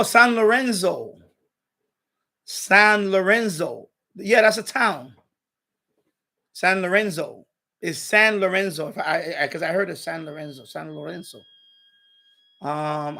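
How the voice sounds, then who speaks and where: Higher-pitched middle-aged man with creaky voice. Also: unhurried, long pauses, sharp tone. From Puerto Rico. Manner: rambling, repeats himself. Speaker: live streamer, radio hobbyist. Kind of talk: casual livestream chat.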